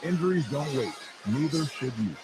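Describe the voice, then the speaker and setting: A man's voice: fairly high pitch, animated, normal speed, rough recording. A man reading a scripted radio advertisement as a spokesman. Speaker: commercial spokesman. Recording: voice over loud music bed. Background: loud music.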